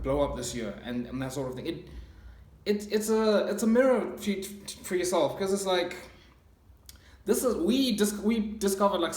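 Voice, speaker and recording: Rambling young man in an echoey room. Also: fairly high pitch. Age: 20s. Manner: rambling, many fillers and restarts, earnest. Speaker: self-improvement channel host. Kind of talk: casual motivational monologue.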